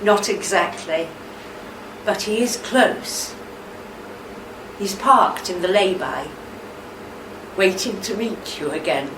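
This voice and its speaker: An actress playing a steady, reassuring middle-aged wife in a radio play, gentle and consoling. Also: female voice, medium pitch, slow pace, pauses often, natural voice. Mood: tender, firm. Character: steady, tender, reassuring wife of a troubled veteran. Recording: roomy, distant mic, faint background noise.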